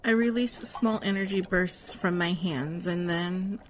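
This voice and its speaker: A woman's voice: fairly low pitch, measured pace, a little hiss or hum, natural voice. An actress playing a restless young woman thief who speaks in a blunt, exasperated way. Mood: explaining. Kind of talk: audio drama acting.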